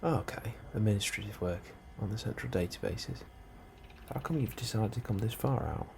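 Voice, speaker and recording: A man doing a soft, breathy ASMR role-play as an unhurried, politely understated officer interviewing a newcomer. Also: lowish voice. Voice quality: soft, breathy, often near whisper. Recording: steady ambient noise behind the voice. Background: computer and ambience sounds.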